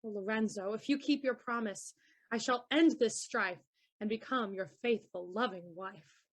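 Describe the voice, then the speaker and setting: A woman's voice: a little high, moderate pace, lightly acted. A woman playing a dutiful but secretly rebellious young daughter in love in a Shakespearean audio play. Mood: troubled resolve.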